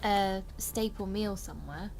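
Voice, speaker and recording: A woman's voice: mid-range voice, level tone, a little slow, darkish tone, soft background music, poor audio. A woman making offhand remarks into a livestream from away from the microphone.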